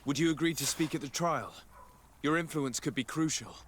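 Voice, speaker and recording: Man acting a composed noble envoy, slightly high. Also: fairly quick, a bit bright, slight background noise, lightly acted. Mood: probing. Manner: formal, polite, pointed. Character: diplomatic young noble envoy, composed, probing questioner. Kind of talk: scripted fantasy game acting.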